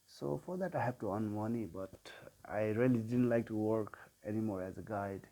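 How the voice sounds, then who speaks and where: A man in his forties from Nepal with a Nepali accent, a sound healer telling his life story in an unhurried way, pausing often. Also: lowish voice, warm tone. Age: 40s. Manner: unhurried, with frequent pauses and filler sounds. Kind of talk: personal life story told to camera.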